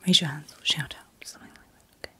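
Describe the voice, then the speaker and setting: A middle-aged woman speaking in a gentle, breathy whisper in short soft phrases, as a doctor in an ASMR roleplay. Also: brisk. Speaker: ASMR performer playing a doctor.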